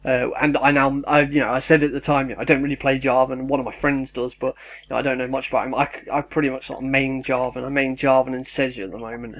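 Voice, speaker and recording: A man's voice: medium pitch, little pitch movement, quick pace, a bit bright. A young man, rambling casually and self-deprecatingly in his home kitchen as a YouTuber. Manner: chatty, sidetracked, self-deprecating.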